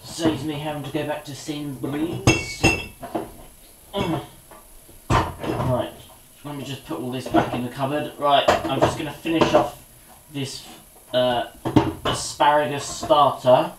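A man's voice: on the high side, fairly even intonation, unhurried, long pauses, very noisy. A man, chatting casually about boxing while cooking in his small kitchen, rambling and muttering to himself, recorded on a camera's built-in mic. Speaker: boxing vlogger. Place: small home kitchen indoors.

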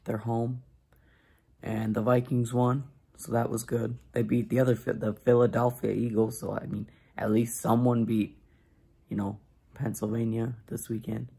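A young man with a raspy voice talking casually to his phone camera as a vlogger, first yelling at a hockey game and later talking quietly at home. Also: mid-range voice, moderate pace. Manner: excited shouting at a hockey game. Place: indoor arena crowd, then outdoors at night, then a couch at home. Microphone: phone camera held close.

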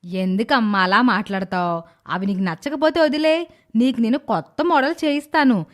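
A woman's voice: on the high side, normal speed, close to an everyday voice. A woman voicing a kindly, indulgent middle-aged mother-in-law in a dramatised moral story, with a coaxing manner. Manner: coaxing, placating.

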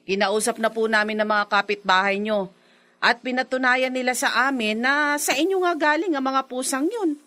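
A woman's voice: high-pitched, moderate pace, bright, forceful tone. An actress playing a courteous, firm female village captain in a Filipino radio drama. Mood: firm, explaining. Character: polite, firm village captain confronting a neighbour.

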